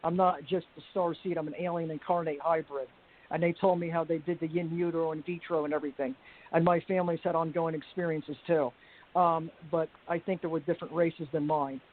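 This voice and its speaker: A woman with a deep voice giving a rambling personal account on a group call, halting with frequent restarts and fillers. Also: steady tone. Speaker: panel guest. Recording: tinny phone-like line with faint hiss.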